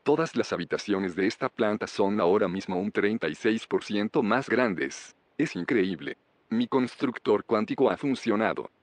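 Proud scientist guy, lowish voice, exact and explain-y, sci-fi audio drama, dry sound. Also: lively intonation, moderate pace, no room sound. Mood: proud, amazed. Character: precise, proud male inventor hiding resentment. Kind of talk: naturalistic audio drama dialogue.

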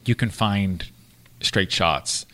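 Low-voiced man, easygoing podcast chat. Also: slow pace, bright, forceful tone. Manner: easygoing, lots of short agreements, hesitant restarts. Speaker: podcast co-host. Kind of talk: casual chat.

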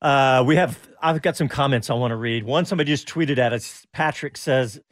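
A man's voice: medium pitch, a little fast, no room sound. A man hosting a casual motor racing talk show, chatting with his co-hosts and reading listener comments. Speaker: radio show host.

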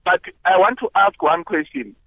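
Hesitant male caller, high animated voice, dry phone-line sound. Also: moderate pace, dry recording. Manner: hesitant, searching for words. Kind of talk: call-in radio talk.

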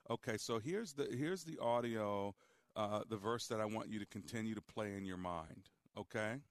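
A man hosting a call-in radio show, speaking calmly and deliberately as he guides a caller. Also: fairly low pitch, no echo. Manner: calm, deliberate, guiding. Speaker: radio talk show host. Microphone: studio microphone.

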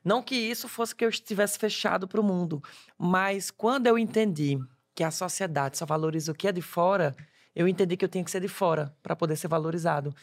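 Gravelly young Cearense man. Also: high voice, brisk. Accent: Cearense Brazilian Portuguese. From Ceará, Brazil. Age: 20s. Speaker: guest. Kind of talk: casual conversation.

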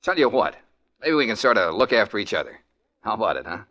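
A breezy young radio detective. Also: male voice, fairly low pitch, sing-song, quick pace, pushed and bright, lo-fi sound. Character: eager young male detective, cheerful and quick. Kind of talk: 1940s radio acting. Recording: old radio recording.

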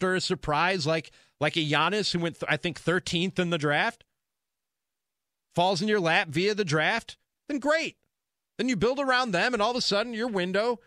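Male American sports talk host, emphatic and conversational, sharp bright tone in a dry studio sound. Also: sits high, no echo. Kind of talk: sports talk radio monologue.

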